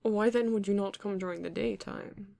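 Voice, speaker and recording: A woman playing a flirty, courteous vampire in an ASMR romance roleplay, breathy and often dropping to a whisper, with genteel, teasing phrasing. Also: mid-range voice, steady tone, dark tone, lightly acted. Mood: amused, chiding. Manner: slow, genteel, teasing, old-fashioned wording. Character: flirty, courteous vampire living alone in a castle.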